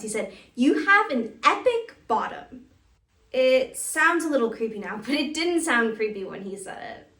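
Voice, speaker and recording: A woman's voice: fairly high pitch, pushed and bright, full character voice. A woman playing a brash teenage girl, echoey room. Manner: boastful, emphatic, teen slang. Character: brash, cocky thirteen-year-old girl admiring herself. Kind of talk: comic stage monologue. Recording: roomy echo, distant mic, faint noise.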